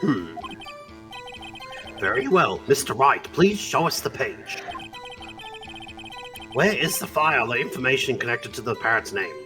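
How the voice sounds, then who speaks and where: A man's voice: mid-range voice, big pitch swings, music in the background, voice pushed down. A man voicing a mild, ponderous, puzzled older judge in an amateur video game dub. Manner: measured, bemused.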